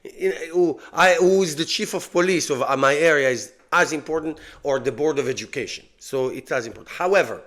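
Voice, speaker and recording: A man's voice: fairly high pitch, fairly quick, few pauses, a bit bright. A middle-aged man from Israel with an Israeli accent, talking through his political opinions in a casual monologue, rambling and repeating himself as he goes. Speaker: YouTube channel host.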